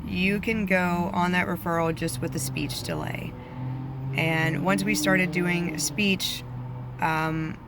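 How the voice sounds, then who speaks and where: Flat-voiced mom vlogging outdoors. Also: female voice, low-pitched, noisy background. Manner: chatty, many ums, flat delivery. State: voice a bit off from allergies. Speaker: vlogger, parent. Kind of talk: casual advice vlog.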